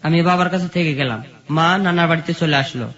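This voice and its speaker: High-voiced man with a rural Bangladeshi accent. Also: fairly quick, fairly bright tone. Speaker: guest telling life story. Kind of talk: personal storytelling in an interview. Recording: dull, muffled lo-fi sound.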